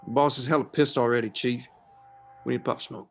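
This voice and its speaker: Man playing a wry, mid-pitched army sergeant. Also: steady tone, fairly quick, slight background noise, natural voice. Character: wry, needling army master sergeant on a mission. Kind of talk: screenplay table read.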